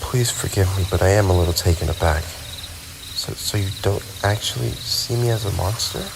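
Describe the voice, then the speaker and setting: Lonely ancient vampire, played by a male actor: very low voice, brooding and wistful, formal diction, ASMR roleplay over clear night insects and owls. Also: moderate pace, background noise. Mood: shy, touched. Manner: wistful, formal, theatrical phrasing with sighs. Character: lonely, brooding tsundere male vampire, centuries old, wounded pride. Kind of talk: ASMR roleplay monologue addressed to the listener. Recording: night ambience with crickets and owls.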